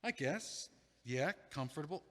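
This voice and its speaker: A man's voice: fairly high pitch, animated, unhurried, fairly bright tone, long reverb tail. A man playing a rueful, dumped guy. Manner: dry, wry, hesitant delivery. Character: recently dumped man, rueful and self-mocking. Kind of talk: audio play acting.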